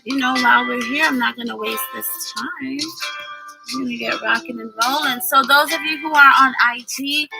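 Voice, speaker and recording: A woman's voice: sits high, gravelly, rough recording. A woman hosting a casual livestream as a children's reading teacher, encouraging and instructive as she talks. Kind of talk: casual livestream chat and phonics lesson with a child. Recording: music playing over the voice. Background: music.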